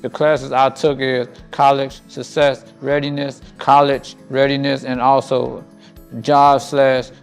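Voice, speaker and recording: A man's voice: mid-range voice, little pitch movement, slow. A young man in his twenties giving a simple, earnest student testimonial. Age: 20s. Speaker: program student. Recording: soft music underneath.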